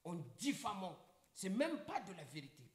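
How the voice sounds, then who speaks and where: A middle-aged Congolese man holds forth on a live stream in an emphatic, rhetorical way. His pitch sits high for a man and leaps around a lot. The room around him rings with echo. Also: huge pitch swings, normal speed. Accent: Congolese French. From Republic of the Congo. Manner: emphatic, rhetorical, repeats points. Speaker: livestream guest. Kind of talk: impassioned live talk. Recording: echoey room.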